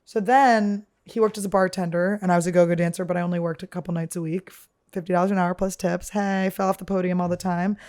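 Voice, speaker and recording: Deep-voiced woman rambling crudely. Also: steady tone, quick pace, darkish tone. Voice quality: deep, damaged-sounding voice. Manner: talks nonstop, crude and self-mocking. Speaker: podcast host. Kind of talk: rambling comedic storytelling.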